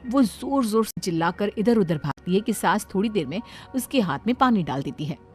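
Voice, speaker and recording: A woman's voice: medium pitch, animated, normal speed, music in the background. A woman narrator telling a scripted story in a dramatic storytelling style.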